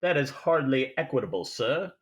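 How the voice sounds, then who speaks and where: A man's voice: mid-range voice, lively intonation, normal speed, lightly acted. A man playing a genial, shrewd fat crime boss with pompous, courtly phrasing in a 1940s-style radio play. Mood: amused, sly.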